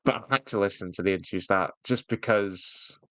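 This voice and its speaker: Male podcast co-host, lower-pitched, jokey and teasing, conversational, recorded very dry. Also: brisk, fairly bright tone, dry recording. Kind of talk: casual review chat.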